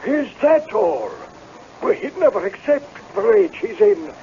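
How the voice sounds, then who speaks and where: On a muffled old radio recording, a laird's Scottish rent collector. His voice is high and soft-edged, yet he is stern and grave, speaking in gloomy warnings. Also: huge pitch swings, unhurried, gravelly, exaggerated voice. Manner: grave, foreboding. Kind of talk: radio drama acting. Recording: muffled old radio broadcast, faint noise.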